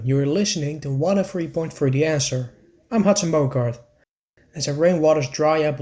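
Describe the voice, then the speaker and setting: Man acting as higher-voiced local radio newscaster. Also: mellow, lightly acted. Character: male local radio newscaster reporting an emergency. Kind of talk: radio news bulletin.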